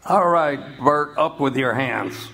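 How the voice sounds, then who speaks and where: A man plays a beat cop in a radio play, firm yet reluctant to get rough. The voice sits at a middle pitch as it coaxes and warns. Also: slow pace, pushed and bright, heavily acted. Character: firm but reluctant policeman, patiently coaxing. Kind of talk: live radio drama acting.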